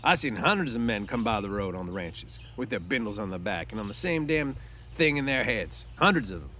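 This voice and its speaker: Storybook stable hand, played by a male reader: bitter, lonely loner, folksy rough grammar, low-pitched, noisy recording. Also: very animated, brisk, pushed and bright. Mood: scornful. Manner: folksy, ungrammatical ranch speech.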